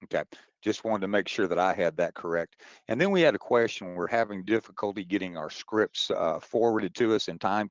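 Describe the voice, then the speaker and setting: Guy with a low voice running webinar q&a, informal, kinda muffled dry sound. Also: dry recording. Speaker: webinar moderator. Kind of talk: informal moderated Q&A. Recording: dull, dry webinar audio.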